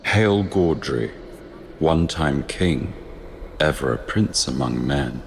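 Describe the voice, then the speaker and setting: An actor playing a solemn, gentle god of death in an audio drama, speaking in grave, courtly phrases. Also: male voice, very deep voice, expressive, slow pace, gravelly, slight background noise, heavily acted.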